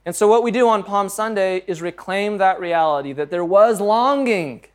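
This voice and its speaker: A man's voice: sits high, normal speed. A man preaching a sermon as pastor, earnest and conversational, reading scripture aloud and joking about his own slips.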